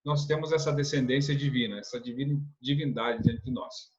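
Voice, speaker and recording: A man's voice: mid-range voice, fairly quick, dull audio. A man from teaching a church lesson, earnest, asking rhetorical questions and often adding a tag question. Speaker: religious instructor.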